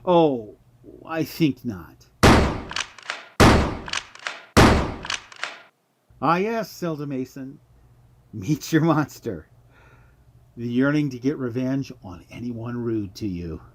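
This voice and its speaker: A man plays a sinister tempter in a radio mystery, speaking at a middle pitch. He is knowing, theatrical and coaxing, guiding listeners toward the monster within. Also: lively intonation, slow, heavy background noise. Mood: gloating. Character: smooth-talking sinister male guide to one's inner monster. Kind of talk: radio mystery drama acting.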